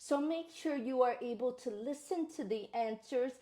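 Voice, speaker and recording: A woman with a fairly high voice reads the questions of a mock speaking test. She asks each one clearly and deliberately. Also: dark tone. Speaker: English teacher, examiner. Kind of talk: scripted intro and test questions.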